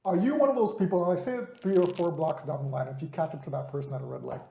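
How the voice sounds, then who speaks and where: A man with a high voice who talks fast as he hosts a casual podcast, firing off probing questions. His voice carries a roomy echo, with faint noise behind it. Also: sing-song, gravelly, dark tone. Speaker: podcast host. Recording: echoey room with faint noise.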